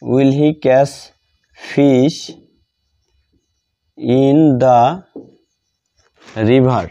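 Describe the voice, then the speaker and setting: A Bengali-accented man gives a grammar lesson at a very unhurried pace. His voice is mid-pitched and soft-edged, dark in tone. He dictates piece by piece, repeating phrases as he writes them out. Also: level tone, clear, resonant tone, highs cut off. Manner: deliberate, repetitive, spelling out each phrase. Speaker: English grammar teacher.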